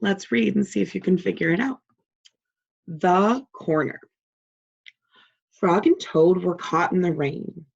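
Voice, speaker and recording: Slow-paced woman reading aloud to children. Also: on the low side, gravelly, husky, muffled recording. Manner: deliberate, encouraging, prompting. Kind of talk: read-aloud lesson with simple questions.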